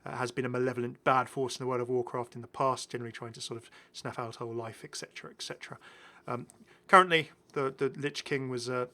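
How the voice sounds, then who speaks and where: A man with a bright, forceful voice at a middle pitch hosts a gaming video. His commentary is casual and enthusiastic, full of chatty asides. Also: steady tone, fast. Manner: chatty, prone to chuckling asides.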